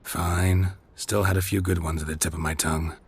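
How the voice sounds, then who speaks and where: A man playing a gruff, middle-aged monster hunter in a video game, gravelly, terse and deadpan with dry wit. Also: moderate pace. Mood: dry, wry. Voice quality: gravelly, low.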